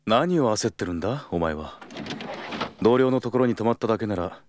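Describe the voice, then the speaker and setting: Guy acting calm seductive senpai type, low murmury voice, teasing n confident, bl audio drama. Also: a little fast, background noise, lightly acted. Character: calm, seductive, confident senior male colleague and lover.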